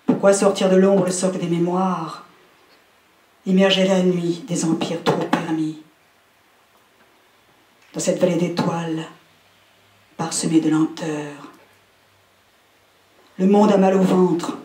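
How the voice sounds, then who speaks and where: A man recites verse in a fairly high voice. His delivery is slow, and the room echoes clearly around him. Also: level tone, a little mellow. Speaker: reader at a poetry event. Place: indoor literary event room.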